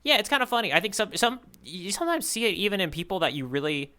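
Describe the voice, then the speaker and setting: A man with a fairly high, clear voice talks casually as a podcast co-host. His tone is bright and crisp, and he works through his thoughts aloud in a rambling, hedging way. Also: very animated, fast. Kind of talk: casual chat, manga readalong discussion.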